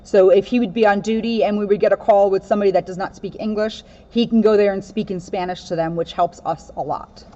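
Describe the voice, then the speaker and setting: A woman's voice: steady tone, fast talker, hardly any pauses, warm tone. A woman police officer reading aloud and explaining things informally for children. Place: in and around a police car. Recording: muffled, low-grade audio with faint noise.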